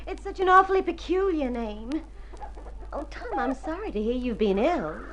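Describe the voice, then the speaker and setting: A high-voiced, sweet country girl. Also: very animated, moderate pace, a bit bright, dull audio. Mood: sweet, wistful. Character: Amaryllis, sweet young country woman, friend's kid sister. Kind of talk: mid-century radio drama acting.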